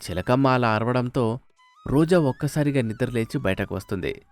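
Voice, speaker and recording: A man's voice: mid-range voice, lively intonation, normal speed. A man narrating a scripted moral story as a storyteller, with music behind him. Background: faint music.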